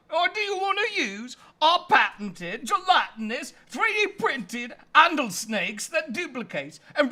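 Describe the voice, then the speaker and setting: A slick, cheeky British street hawker from a cartoon, acted by a man. The voice is pitched up and animated, with wordy salesman patter in a British accent. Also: heavily acted.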